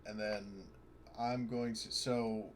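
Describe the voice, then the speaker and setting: A man chatting casually as a player in a tabletop game, halting as he thinks aloud. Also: fairly low pitch, level tone, slight background noise.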